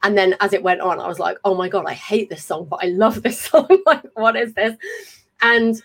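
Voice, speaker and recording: A woman, hosting a casual chat over a video call, talkative and quick to laugh and swear. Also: mid-range voice, fairly bright tone. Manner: chatty, swears freely, laughs often. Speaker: podcast host. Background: faint fan hum.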